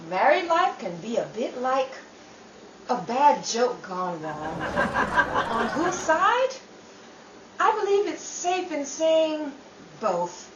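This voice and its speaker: A woman playing a chatty, playful host introducing a theatrical 1930s radio show, with dramatic pauses. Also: high voice, echoey room, distant mic, muffled recording, background noise, exaggerated voice.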